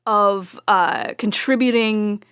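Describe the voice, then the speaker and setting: Female podcast guest, medium pitch, casual conversational delivery, close dry recording. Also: steady tone, slow, a bit bright, clear, resonant tone, dry recording. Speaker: podcast guest, audio drama organizer. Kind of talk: casual group interview.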